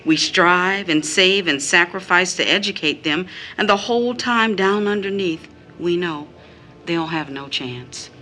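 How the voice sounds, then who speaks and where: A woman's voice: lowish voice, measured pace, pushed and bright, faint music behind. A woman playing a bitter, disillusioned mother in an audio drama, emphatic and grave. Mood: weary, bitter. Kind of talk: audio drama stage-style acting.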